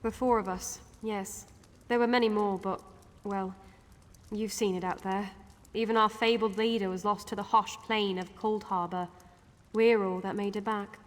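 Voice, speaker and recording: An actress playing an earnest, hesitant, war-weary mage survivor in a fantasy video game. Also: female voice, medium pitch, fairly even intonation, moderate pace, natural voice. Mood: weary, grateful. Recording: long hall reverb.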